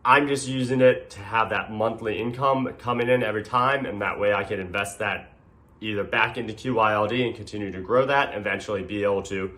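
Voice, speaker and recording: A man with a stuffed-up, nasal voice talking casually to camera, relaxed and hesitant, often saying um and clearing his throat. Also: fairly low pitch, a little fast. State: congested, nasal from a sinus infection. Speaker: video creator. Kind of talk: casual explainer talk.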